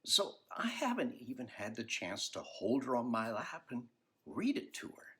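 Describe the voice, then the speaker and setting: Older male storyteller, husky tone, hugely varied pitch, expressive and unhurried delivery. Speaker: children's book author reading aloud. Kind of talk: personal commentary and storybook reading.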